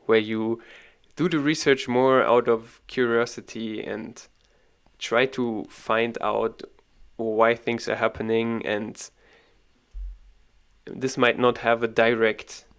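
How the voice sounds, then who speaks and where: Gravelly-voiced male podcast host. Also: mid-range voice. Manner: calm, summarizing, measured. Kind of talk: podcast interview hosting.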